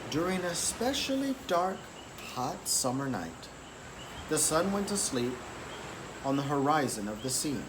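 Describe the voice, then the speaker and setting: A man reads a children's story aloud with a very animated, fairly high voice. His delivery is expressive and deliberate. Clear background noise runs through the recording. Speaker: story reader. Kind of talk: children's picture book read-aloud.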